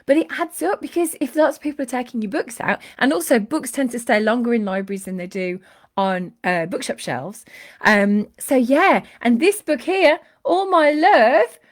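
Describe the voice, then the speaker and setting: A middle-aged woman with a slightly croaky voice, effusively chatting on her live book show and greeting viewers. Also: on the high side. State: a little croaky from a cold. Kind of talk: casual live-stream chat.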